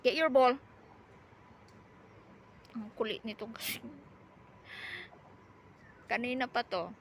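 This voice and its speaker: Slow-talking Filipino woman over background noise. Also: a little high. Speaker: vlogger filming pets and wildlife at home. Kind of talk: casual chat to camera. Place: outdoors in a yard. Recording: clear background noise, outdoor ambience.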